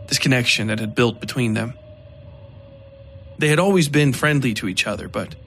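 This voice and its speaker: A man narrating dark horror fiction from a script in a measured, literary storytelling manner. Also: mid-range voice. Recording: faint ambient background noise.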